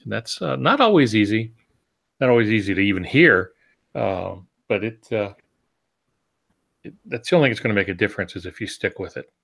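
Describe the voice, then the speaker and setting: A man's voice: on the low side, animated, brisk, creaky, pauses often, a bit bright. A man co-hosting a podcast, giving conversational advice in a plainspoken, matter-of-fact way. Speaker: podcast co-host.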